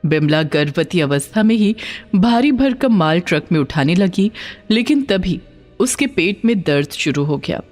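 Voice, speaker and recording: A woman narrating a scripted dramatic story in an expressive, emphatic way. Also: on the low side, measured pace, faint music behind.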